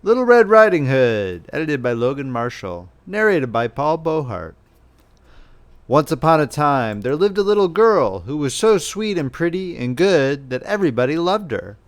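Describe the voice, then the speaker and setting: A man narrating a children's fairy tale as a scripted, expressive audiobook reading. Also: fairly high pitch, moderate pace.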